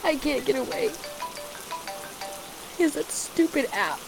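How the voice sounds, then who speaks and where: A woman playing a distraught, trapped partner in an intimate audio roleplay, pleading and halting as she repeats herself. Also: sits high, vocal fry, over music, full character voice, poor audio. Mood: afraid, resigned. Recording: steady rain noise behind the voice. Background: rain.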